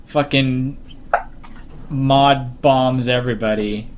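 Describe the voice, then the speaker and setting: A man in a casual fan panel discussion, halting, repeating phrases and swearing casually. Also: medium pitch, fairly even intonation, clear, resonant tone. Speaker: podcast panelist.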